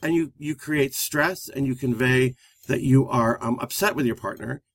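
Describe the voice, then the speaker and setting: A man with a loud voice who talks fast, co-hosting a live-streamed relationship talk show. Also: mid-range voice, breathy edge, no room sound. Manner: fast-talking, energetic.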